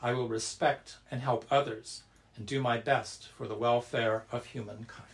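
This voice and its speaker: A man leading a Buddhist temple service, reciting and guiding meditation in a measured, solemn, deliberate way. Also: medium pitch, steady tone. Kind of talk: reverent recitation and guided meditation.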